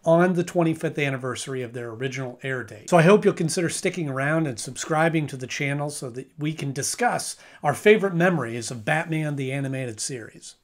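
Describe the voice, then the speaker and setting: A man's voice: on the high side, fairly quick, few pauses. A man giving a casual video review of a cartoon episode, rambling and correcting himself with frequent restarts.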